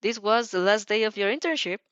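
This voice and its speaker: An easygoing young hero mentor read by a woman, casual and teasing, with animated pitch. It is a story-reading performance in a dry, slightly dull lo-fi recording. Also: brisk, clear, resonant tone, no echo, natural voice. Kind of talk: audio fan fiction character reading.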